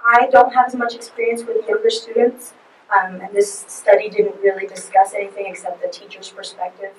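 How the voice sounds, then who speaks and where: A woman's voice: medium pitch, steady tone, brisk, slight background noise. A woman leading a forum discussion as presenter, asking for comments and answering questions. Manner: conversational, leads questions. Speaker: student presenter. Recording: echoey room from far off.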